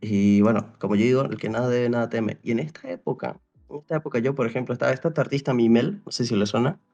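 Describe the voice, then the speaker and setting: A young man from Paraguay with a gravelly voice. His pitch is ordinary for a man. He talks loosely and rambles, circles back with "o sea", and acts out what people said to him. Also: fairly even intonation, quick pace, darkish tone. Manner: rambling, full of restarts and "o sea", re-enacts dialogue. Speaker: interview guest. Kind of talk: casual storytelling chat.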